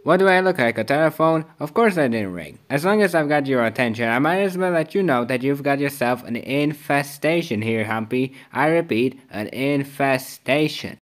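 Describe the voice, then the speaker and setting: A man voicing a quick-witted, sarcastic cartoon boy hero in a game fan dub, dry in manner. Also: medium pitch, expressive, lightly acted. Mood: brash, sarcastic.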